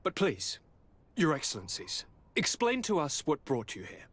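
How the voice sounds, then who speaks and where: An actor playing a self-satisfied young aristocratic governor in a game, with florid, courtly, theatrical delivery. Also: male voice, fairly high pitch, huge pitch swings, normal speed, a bit bright, no echo, full character voice. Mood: gracious, delighted. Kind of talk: game cutscene acting.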